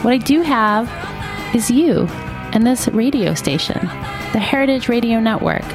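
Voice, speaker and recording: A woman's voice: fairly high pitch, lively intonation, normal speed. A woman giving an earnest, upbeat donation appeal for a nonprofit radio station. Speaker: station fundraising announcer. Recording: music bed under voice.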